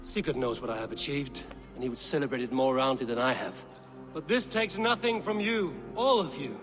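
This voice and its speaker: An actor playing a steadfast, commanding Viking raider leader in a game, speaking formally and deliberately like an orator. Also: male voice, medium pitch, animated, in a large hall, over music, natural voice. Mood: proud, rousing. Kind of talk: cinematic game dialogue, formal archaic speech.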